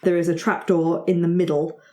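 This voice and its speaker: A woman's voice: lowish voice, flat delivery, brisk, dark tone. A woman running a tabletop fantasy game, narrating off the cuff in a playful, conversational way. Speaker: game master. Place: studio. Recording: roomy, echoey studio.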